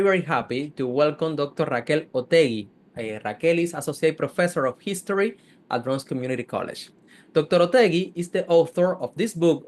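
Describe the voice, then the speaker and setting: A man hosting an interview who speaks haltingly, often repeating words, with non-native English phrasing. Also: a little high. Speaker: host, program director.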